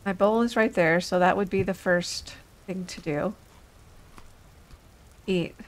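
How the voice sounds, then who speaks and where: Slow-talking woman doing casual game commentary, recovering from illness. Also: fairly low pitch, fairly even intonation. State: getting over an illness. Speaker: gaming video host. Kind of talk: casual let's play commentary.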